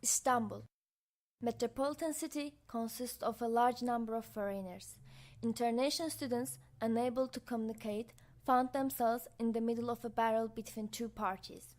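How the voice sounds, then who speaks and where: A woman's voice: a little high, fairly even intonation, normal speed, darkish tone. Young woman narrating a student documentary. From Azerbaijan. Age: young adult.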